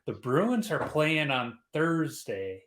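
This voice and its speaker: A man's voice: fairly high pitch, very animated, slow, a bit bright. A middle-aged man from Chicago hosting a casual podcast, chatty and rambling with restarts. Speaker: podcast host.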